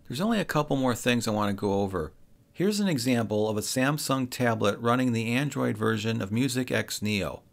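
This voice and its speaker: A man's voice: mid-range voice, gravelly, a bit bright. A man presenting a product review as scripted, step-by-step narration. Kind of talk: scripted narration of a gear demonstration.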